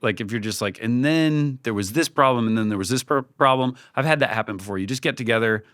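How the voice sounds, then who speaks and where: A man giving casual, conversational advice as a podcast guest, informal and halting, often acting out bits of imagined dialogue. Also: medium pitch, a bit bright, no room sound, studio quality. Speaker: podcast guest, creative team leader.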